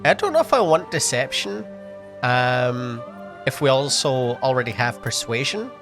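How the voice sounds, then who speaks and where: A sniffly man of ordinary pitch muses through his gameplay choices, hesitating and filling pauses as he thinks aloud. His casual commentary sits over faint background music. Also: sing-song. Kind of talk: casual let's-play commentary.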